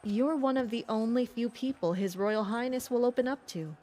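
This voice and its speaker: A woman playing a composed, perceptive castle guard captain in an audio drama, calm, formal and matter-of-fact. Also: fairly high pitch, moderate pace, natural voice. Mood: curious, knowing.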